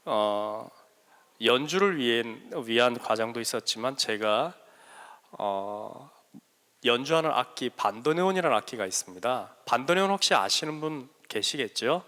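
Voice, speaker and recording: A man's voice: mid-range voice, animated, unhurried, bright, forceful tone. A man speaking learned Seoul Korean with a lingering regional dialect, giving a joking, self-deprecating lecture to students into a handheld mic, with frequent hesitant fillers. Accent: Korean regional dialect under learned Seoul speech. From South Korea. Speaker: guest mentor lecturer. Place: large hall at a school workshop. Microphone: handheld microphone. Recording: echoey hall reverb.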